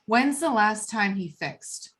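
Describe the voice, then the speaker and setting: A woman's voice: mid-range voice, expressive, slow pace, fairly bright tone. An actress playing an angry, blunt, foul-mouthed woman in a table read of a film script. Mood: hostile. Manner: combative, blunt.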